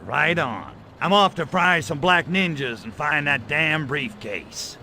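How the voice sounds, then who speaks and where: A man's voice: a little high, lively intonation, a little slow, noisy background. An actor playing a cocky, wisecracking alien invader in a video game, sarcastic and swaggering in his slangy delivery. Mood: impatient. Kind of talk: video game cutscene voice acting.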